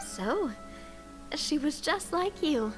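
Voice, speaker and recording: A woman's voice: high voice, expressive, slow, a bit bright, faint music behind, exaggerated voice. A woman voicing a cheerful, proud young lady in a video game, poised and playfully teasing. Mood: playful pride.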